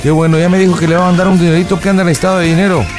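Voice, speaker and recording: A man's voice: sits high, little pitch movement, racing, over music. A Mexican man hosting a prank call show, improvising comic banter in a mocking, teasing, slangy way.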